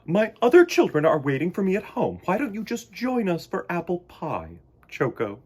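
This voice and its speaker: A man voicing a kind, motherly female bear from a children's storybook, exclaiming and gently welcoming. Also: sits high, wildly swooping pitch, normal speed, breathy edge, voice pushed up, exaggerated voice. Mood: warm, inviting.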